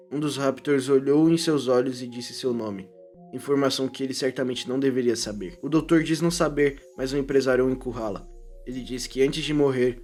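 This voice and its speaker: A man's voice: mid-range voice, a little mellow. A man with a Brazilian accent narrating a scripted video essay in an informal, irreverent way. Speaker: YouTuber narrator and commentator. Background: prominent background music.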